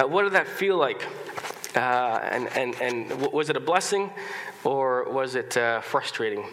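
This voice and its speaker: A man preaching in a church, his voice stuffed up from a cold and a little high for a man, with a bright, forceful edge. He talks in a loose, conversational way, restarting phrases and clearing his throat. The sanctuary gives a long, ringing reverb. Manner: conversational, many restarts and fillers, occasional coughs and throat-clearing. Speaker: pastor. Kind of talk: sermon.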